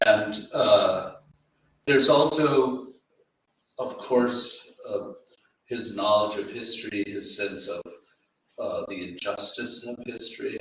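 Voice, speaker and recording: A man discussing literature informally as an editor on a panel, halting and reflective, often repeating his words. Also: on the low side, a bit bright. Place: indoor event room with audience. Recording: echoey room, distant mic, faint noise.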